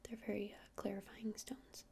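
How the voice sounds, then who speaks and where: A woman playing a gentle crystal-shop witch in an ASMR roleplay, whispering breathily and explaining things unhurriedly with hesitant pauses. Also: mid-range voice, flat delivery, natural voice. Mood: soothing, earnest. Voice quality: breathy whisper with creaky edges.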